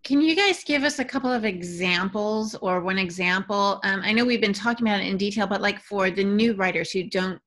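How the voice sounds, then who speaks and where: Female podcast co-host, medium pitch, casual and inquiring. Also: brisk, few pauses, a bit bright. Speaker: podcast co-host asking questions. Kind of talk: casual discussion.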